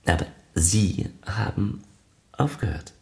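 An actor playing a polite, deferential arts foundation official in a radio play, halting and eager to please. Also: male voice, sits low, vocal fry, mellow, heavily acted.

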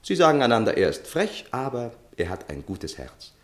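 A man's voice: medium pitch, huge pitch swings, moderate pace. A man acting in a 1960s German radio play as a tipsy, infatuated young journalist, with theatrical, ardent and sometimes stammering delivery. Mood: boastful, playful.

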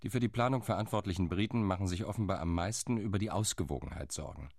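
A dry, ironic delegation liaison. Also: male voice, deep voice, fast, creaky, darkish tone, no echo. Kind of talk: radio play monologue.

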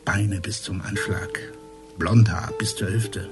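A man's voice: lowish voice, steady tone, slow pace, music in the background. An actor playing a worldly, cynical old friend of a policeman in a radio crime drama, speaking in a wry, flippant way. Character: worldly, cynical male friend from the vice-squad milieu.

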